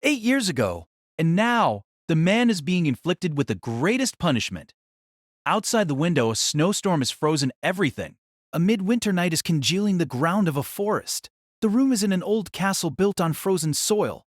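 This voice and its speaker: A man narrating a novel as a dramatic audio reading, solemn and theatrical, with measured phrasing. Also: sits high, very animated, dry recording, studio quality.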